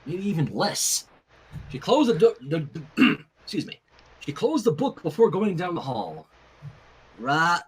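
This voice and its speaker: Fan fiction reader: male, very expressive swooping pitch, slightly raspy, casual between passages. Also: unhurried, rough recording. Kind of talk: animated story reading with casual asides.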